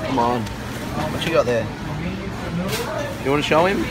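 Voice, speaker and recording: A man's voice: mid-range voice, animated, measured pace. A young man chatting casually with friends as a vlogger, teasing them with crude jokes. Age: young adult. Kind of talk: casual banter with friends while travelling. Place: busy airport and vehicles. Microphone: handheld camera. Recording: noisy handheld camera audio in public spaces. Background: loud travel and crowd ambience.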